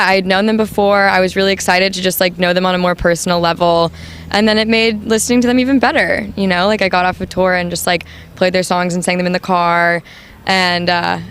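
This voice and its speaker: A young adult woman with a high voice, the band's new singer, answering interview questions casually with many "like"s and "um"s. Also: a bit bright, a little hiss or hum. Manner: chatty, full of "like" and "um".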